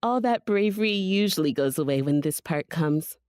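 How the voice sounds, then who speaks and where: A woman's voice: low-pitched, animated, normal speed, darkish tone, dry recording, clean studio sound. A woman playing a curt, condescending vampire servant in an audio drama, with a haughty, teasing, commanding manner. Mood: mocking, impatient.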